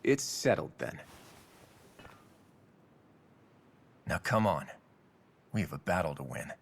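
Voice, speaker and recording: A man's voice: sits low, big pitch swings, normal speed, gravelly, husky, exaggerated voice. An actor playing a steadfast, teasing male bird-folk warrior in a video game, with a wry, assured manner.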